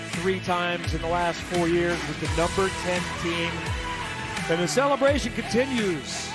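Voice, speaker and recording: High-voiced male sports host over loud music. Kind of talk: broadcast sports commentary.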